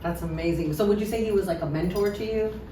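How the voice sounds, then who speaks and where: Lower-voiced woman host, enthusiastic. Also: quick pace, dark tone. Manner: enthusiastic, laughs often. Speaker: interview host. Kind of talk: casual interview. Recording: echoey room, mic at a distance, faint noise.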